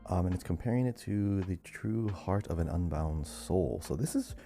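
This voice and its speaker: A man co-hosting a casual gaming quiz podcast, reading questions out in a measured, deliberate way. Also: deep voice, animated, warm tone, faint music behind. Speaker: podcast co-host and quiz reader. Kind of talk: casual video game lore quiz podcast.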